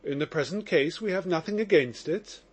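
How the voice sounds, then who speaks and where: A man voicing a composed investigating lawyer who answers in a formal, measured way. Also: on the high side, lively intonation, slightly rough.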